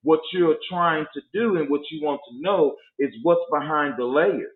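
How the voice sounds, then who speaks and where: A man's voice: a little high, normal speed. A man in his forties holds forth on dating in an emphatic, preachy way. His voice comes through a tinny, phone-like line and echoes around the room. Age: 40s. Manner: preachy, emphatic, slips into mock voices to make a point. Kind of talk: casual podcast chat about dating.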